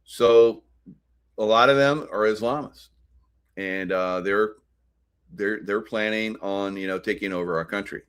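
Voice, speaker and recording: A man with an American accent and a low voice talks through political commentary as a host. His delivery is halting and rambling, clearly unscripted. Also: fairly bright tone. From United States.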